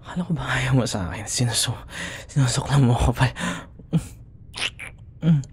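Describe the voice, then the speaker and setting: A man with a Filipino accent playing a young, grudging, secretly smitten school rival in an intimate, breathy ASMR roleplay, often dropping into whispers. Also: mid-range voice, normal speed, slight background noise, close to an everyday voice. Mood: confused, smitten. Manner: teasing, grumbling, hesitant. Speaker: audio roleplay voice actor.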